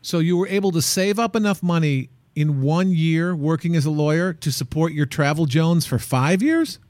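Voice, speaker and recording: A man's voice: a little high, normal speed, darkish tone, dry recording. A man hosting a radio travel show, upbeat and joking, who often restarts his questions. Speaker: host.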